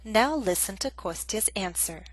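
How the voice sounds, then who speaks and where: A woman teaching an English grammar lesson in a clear, measured, scripted way. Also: medium pitch, expressive, no echo.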